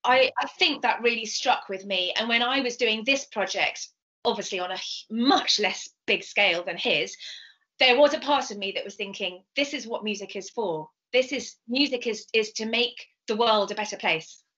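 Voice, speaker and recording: A middle-aged British woman speaks with a fairly high, bright voice. She tells her story as an interview guest, animated and emphatic. The video call sound is a little dull. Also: normal speed. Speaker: composer and singer being interviewed. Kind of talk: video interview, anecdotal explanation. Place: indoors, home room.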